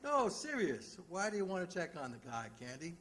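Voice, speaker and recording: A lovable shaggy homicide cop in an old radio show, jokey, kinda high voice, really up and down. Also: male voice, huge pitch swings, a little fast, a bit bright, slight background noise, full character voice. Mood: casual, probing. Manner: jocular, folksy, needling. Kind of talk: old-time radio detective drama acting.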